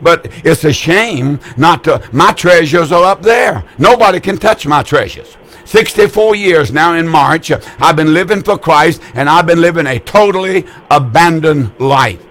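A man's voice: fairly high pitch, lively intonation, measured pace, fairly bright tone. An eighty-year-old man hosting a call-in religious broadcast, preaching in a folksy, repetitive way with frequent stammered restarts. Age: older. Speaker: radio preacher taking calls.

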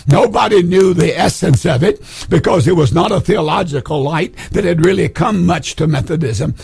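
A hoarse man preaching with a rough, gravelly rasp and a slightly raised pitch. He presses his points hard and repeats key phrases. The sound is close and dry, with almost no room around it. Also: normal speed, a little mellow, no room sound. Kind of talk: Pentecostal sermon teaching.

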